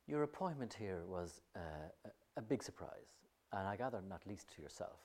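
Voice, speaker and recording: Deep husky guy asking questions, quick, kinda blunt. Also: animated, darkish tone. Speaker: newspaper interviewer. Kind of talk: interview questions.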